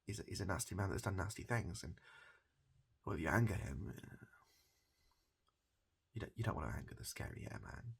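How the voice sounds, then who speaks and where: Soft, gravelly British man rambling. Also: sits low, a little fast, pauses often. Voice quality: soft-spoken, breathy. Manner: rambling, hesitant, repeats phrases, deadpan absurdity, swears. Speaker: ASMR comedy performer. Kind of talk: improvised soft-spoken ASMR comedy roleplay as a backstreet barber.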